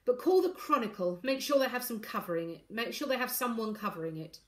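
A woman storyteller acts out a middle-aged male politician, a father with little patience. He is pompous and curt, his pitch moving freely as he orders people about in a storybook. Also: expressive, brisk, roomy sound, lightly acted. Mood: brisk, resigned. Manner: curt, irritable, self-important.

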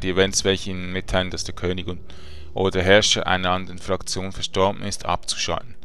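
Deep, flat-voiced man playing a game. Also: clean tone. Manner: relaxed, chatty, slangy asides. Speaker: let's play gamer. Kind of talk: casual gameplay commentary.

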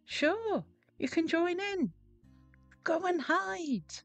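A woman's voice: very high voice, lively intonation, very slow, no echo, faint music behind, pitched above the performer's own voice, full character voice. A woman voicing a disdainful village boy from a storybook in a child's squeal. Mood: offhand.

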